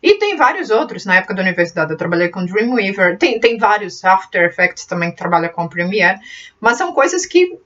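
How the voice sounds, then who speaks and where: A woman's voice: medium pitch, big pitch swings, fairly quick, a bit bright, far from the mic, dull audio. A woman born in Brazil and raised in Portugal, with a mixed Brazilian and European Portuguese accent, chatting casually and encouragingly about her computer tutorial channel, with many fillers and restarts.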